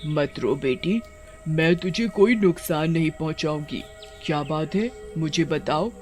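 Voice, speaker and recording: A man voicing a kindly, motherly river witch who speaks gently and reassuringly in an animated fairy tale. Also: fairly high pitch, measured pace, soft background music, natural voice. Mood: gentle, reassuring.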